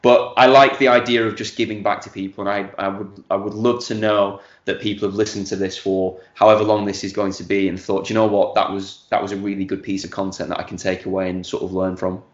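A man's voice: deep voice, little pitch movement, fast talker, hardly any pauses, echoey room, dull audio. A man, chatting as a podcast guest and often repeating words as he thinks. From northern England. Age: 40s. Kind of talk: casual interview chat.

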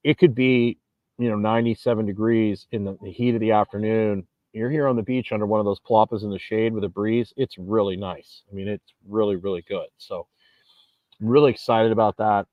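A man's voice: fairly low pitch, fairly even intonation, a little fast, dry recording. Rambling man in his forties, dull audio. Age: 40s. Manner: rambling, emphatic, frequent restarts. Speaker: vlogger and gym owner. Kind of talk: casual monologue to camera. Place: outdoors on a beach.

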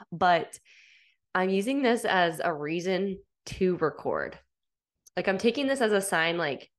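Woman in her 30s with a stuffy cold voice, casual upbeat podcast chat, kinda low pitched. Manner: chatty, upbeat, frequent fillers and laughs. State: congested from a cold. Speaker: podcast host.

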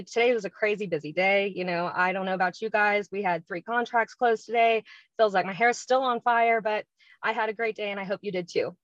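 A woman's voice: medium pitch, no room sound. Chatty woman guest, dull dry sound. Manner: chatty, frequent ums, explains step by step. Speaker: podcast guest. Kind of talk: casual interview talk.

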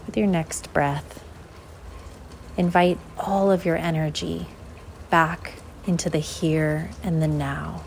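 Low-pitched, slow-speaking woman guiding meditation. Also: steady tone, gravelly, a little hiss or hum. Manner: unhurried, measured, with long pauses. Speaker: guided meditation host. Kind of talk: slow guided visualization.

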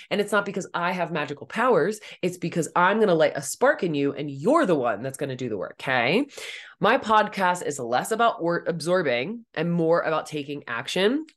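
A young woman with a raspy, somewhat deep voice, hosting her own coaching podcast in a blunt, direct, casual way. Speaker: podcast host and life coach. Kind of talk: casual solo podcast monologue.

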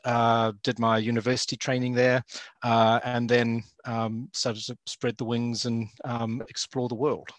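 A man with a New Zealand accent, a zoo vet talking in a relaxed interview, with many ums and uhs. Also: medium pitch, measured pace, dry recording. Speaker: guest, zoo veterinarian and lecturer. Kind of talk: casual interview talk.

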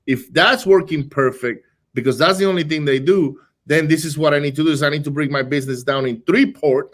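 Animated, fast-talking man from Venezuela. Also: on the high side. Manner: fast, animated, repeats words when restarting. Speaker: real estate wholesaling business owner. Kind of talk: casual podcast interview.

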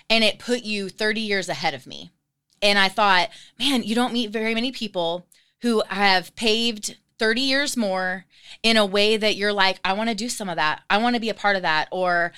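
Eager midwestern woman host in her 40s, bright smooth voice, chatty. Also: medium pitch. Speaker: podcast host. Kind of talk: casual interview chat.

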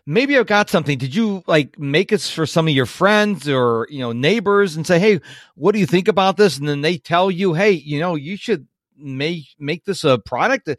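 A man's voice: fairly high pitch, expressive, moderate pace, no echo, studio quality. A man in his fifties hosting an interview, chatty and prone to long rambling questions. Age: 50s. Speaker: podcast host. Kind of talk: casual interview.

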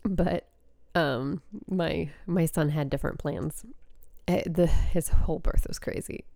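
A woman's voice: low voice, fairly even intonation, slow, gravelly, warm tone, dry recording. A young adult woman hosting a podcast, telling a story in a rambling, casual way with frequent fillers, her nose stuffed up. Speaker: podcast host and spiritual coach.

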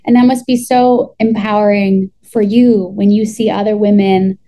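A woman hosting a podcast in a casual, enthusiastic and expressive conversational style. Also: medium pitch, moderate pace. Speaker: podcast host. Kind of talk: casual chat and conversational sponsor read.

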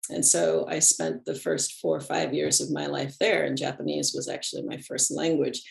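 A middle-aged woman with a low, gravelly voice speaks as a podcast guest. She talks in a thoughtful, unhurried way and laughs softly between thoughts. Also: big pitch swings. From United States. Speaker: podcast guest, animist and ritualist. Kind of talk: reflective conversational interview.

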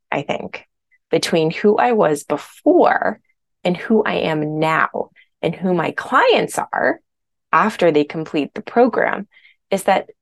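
A woman hosting her own podcast as a weight loss coach, a little hoarse from a sore throat, talking in a chatty, candid way. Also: lowish voice. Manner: chatty, candid, uses filler words. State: hoarse, recovering from strep throat. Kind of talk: casual podcast monologue.

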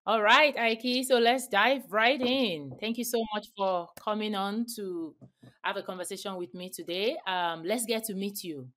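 A woman's voice: mid-range voice, normal speed, a bit bright. A woman hosts an interview podcast. Her voice sits mid-range for a woman. She speaks upbeat and enthusiastic, pushing key words with emphatic swells. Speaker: podcast host.

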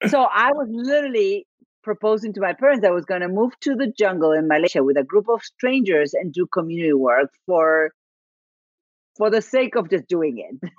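A woman in her forties with a thick Argentine Spanish accent, Spanish being her first language. Her voice sits a little low, and she tells her story reflectively, with frequent laughter and false starts. The recording is dry and slightly dull. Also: dry recording. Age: 40s. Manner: reflective, laughs often, many restarts. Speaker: guest. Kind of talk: casual interview storytelling.

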